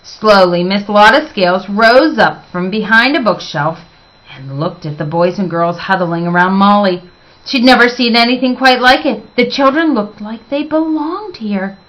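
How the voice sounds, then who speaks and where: A woman reading a children's storybook aloud as expressive narration, with dramatic, emphatic phrasing. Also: medium pitch, normal speed, rough recording.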